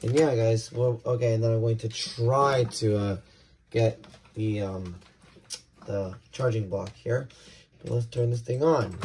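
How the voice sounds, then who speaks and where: A young man with an American accent presents an unboxing in a casual, halting way, repeating words as he goes. He sounds like he is at a desk indoors on a phone, with clear noise and handling clicks around his voice. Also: medium pitch, steady tone. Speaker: video creator unboxing weather radios. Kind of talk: casual hands-on unboxing chat.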